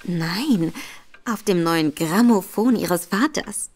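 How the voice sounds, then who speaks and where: A woman's voice: lowish voice, lively intonation, slow pace, darkish tone, exaggerated voice. An actress playing a sly, polite young woman with a coy manner in an audio drama. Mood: coaxing. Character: coy, sly young woman feigning innocent servant-girl respectability.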